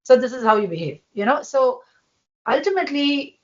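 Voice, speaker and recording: A woman with a loud voice, talking as a podcast guest in an emphatic way and laughing often. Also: high voice, lively intonation, moderate pace, dull audio. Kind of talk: casual conversation.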